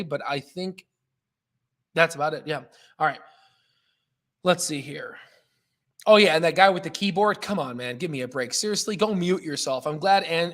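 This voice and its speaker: Man, somewhat high pitch, live stream host, casual trading commentary, excitable, emphatic, joking manner.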